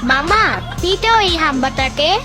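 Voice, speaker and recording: A woman's voice: very high voice, animated, a little slow. A woman voicing a cheeky, confident little cartoon boy with a precocious, colloquial way of talking. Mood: cheeky, defiant. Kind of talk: comic cartoon dialogue. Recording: loud music behind the voice.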